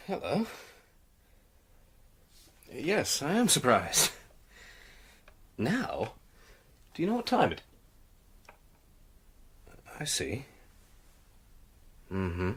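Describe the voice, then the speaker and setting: An actor playing a man on the phone in a British radio crime drama, husky, wry and clipped. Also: medium pitch, wildly swooping pitch, extremely slow, pauses often, sharp tone, exaggerated voice. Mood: guarded, wry. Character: man taking an unexpected late phone call.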